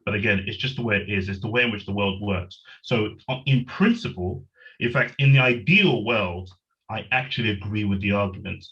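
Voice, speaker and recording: A man's voice: lowish voice, brisk, a bit bright. A middle-aged man from Nigeria, a writer on a discussion panel, talking in a rambling, self-correcting way over a video call. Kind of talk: discussion, webinar. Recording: dull lo-fi call audio.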